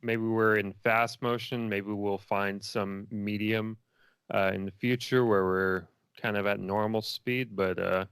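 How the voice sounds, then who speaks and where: A man's voice: on the low side, fairly even intonation, measured pace, a bit bright, clean tone, no echo. A middle-aged man giving casual, reflective interview answers, feeling his way through his thoughts as he talks. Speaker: interview guest, filmmaker.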